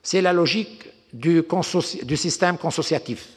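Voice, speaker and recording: A man's voice: on the high side, normal speed, breathy edge. Older man in a reverberant hall. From Lebanon. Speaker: diplomat giving a talk. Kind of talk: unscripted geopolitical lecture with anecdotes.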